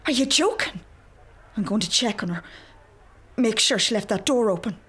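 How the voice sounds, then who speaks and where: A woman's voice: on the high side, expressive, normal speed, fairly bright tone, husky, exaggerated voice. A woman playing a fussing, anxious, protective Irish mother in a naturalistic radio drama. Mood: anxious, insistent.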